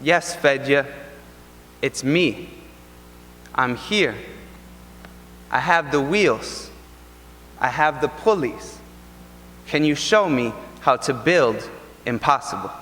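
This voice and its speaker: A young man performing spoken word poetry at an event, with a rhythmic, deliberate and impassioned delivery in a large ballroom. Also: mid-range voice, expressive, a bit bright, a little hiss or hum. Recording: long reverb from a large ballroom.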